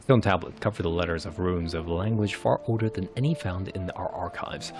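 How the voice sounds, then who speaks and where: A man's voice: sits low, fairly even intonation, brisk, darkish tone. A man voicing a prim, worried scholarly official in a fantasy game, with a stiff, wordy, formal manner. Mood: guarded, formal. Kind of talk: narrated fantasy game dialogue.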